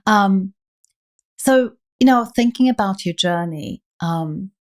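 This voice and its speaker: A woman with a foreign accent hosting a podcast interview, speaking in a thoughtful, empathetic, measured way. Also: mid-range voice. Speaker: podcast host. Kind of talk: reflective interview conversation.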